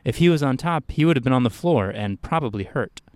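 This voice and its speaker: A man's voice: medium pitch, animated, brisk, dry recording, voice pushed up, lightly acted. A man voicing a nervous, defensive employee who protests earnestly and insistently in a spoken short story. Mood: pleading.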